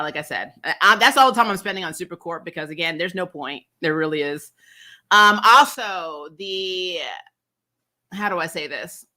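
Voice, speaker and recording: Blunt, bright-voiced woman hosting a recap. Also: mid-range voice. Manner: blunt, emphatic, freely swearing. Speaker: host of a TV recap show. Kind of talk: opinionated casual chat reviewing TV episodes.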